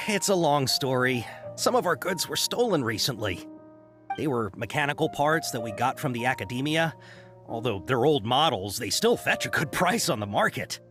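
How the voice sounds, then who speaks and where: An actor voicing a nervous, chatty caravan merchant who hesitates a lot, with many uhs. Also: male voice, mid-range voice, animated, normal speed, a bit bright, close to an everyday voice. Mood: worried. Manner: hesitant, full of uhs, then eager. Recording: game audio with background music.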